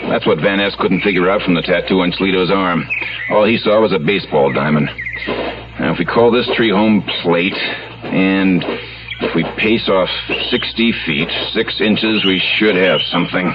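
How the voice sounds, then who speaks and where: An actor playing a tough, shrewd American police lieutenant in a radio drama, terse and commanding. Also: male voice, low voice, moderate pace, natural voice. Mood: knowing, wry. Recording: muffled old radio broadcast, music underneath.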